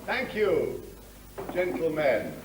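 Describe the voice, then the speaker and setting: An actor playing a middle-aged senior military officer in a drama, condescending and pompous, with deliberate, patronising phrasing. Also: male voice, a little high, huge pitch swings, full character voice. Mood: falsely jovial. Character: condescending senior military officer, falsely jovial, menacing man. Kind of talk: dramatic acting. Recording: clear background noise.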